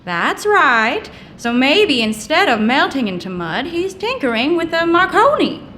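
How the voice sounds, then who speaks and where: Wisecracking small-town woman, acted, high, bright voice, blunt and sceptical, old-style comic audio drama, ambient noise behind. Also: big pitch swings, a little slow, exaggerated voice. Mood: placating. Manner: sassy, blunt, folksy.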